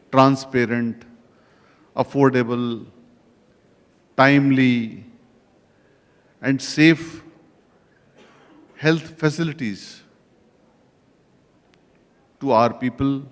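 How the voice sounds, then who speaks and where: A man chairing a conference session, speaking slowly and deliberately, listing points with pauses. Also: medium pitch. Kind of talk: formal conference address. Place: large conference hall. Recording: reverberant conference hall.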